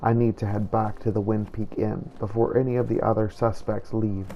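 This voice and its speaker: A man voicing a solemn, righteous vampire-hunting inquisitor, narrating his hunt in formal, measured, old-fashioned phrasing for a game roleplay. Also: on the low side, level tone, warm tone, dull audio, slight background noise, lightly acted. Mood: brooding, measured. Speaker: video game player narrating in character. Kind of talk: scripted first-person roleplay narration.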